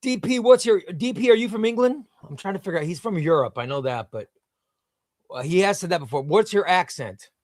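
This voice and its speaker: A man's voice: high-pitched, expressive, brisk, no room sound, studio quality. A man hosting a casual livestream, reading viewer comments aloud and gushing with enthusiasm, often repeating himself. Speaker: livestream host.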